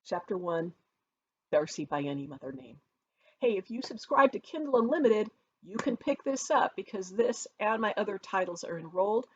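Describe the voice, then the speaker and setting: A woman author reading her own novel aloud in an expressive storytelling narration. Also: medium pitch, moderate pace, lo-fi sound, slight background noise.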